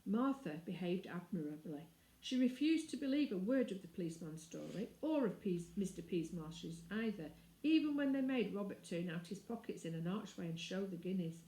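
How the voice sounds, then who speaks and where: A woman narrates a children's book. Her voice is soft-edged and mellow, her reading expressive. The room adds noticeable echo. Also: medium pitch, normal speed. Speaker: storyteller.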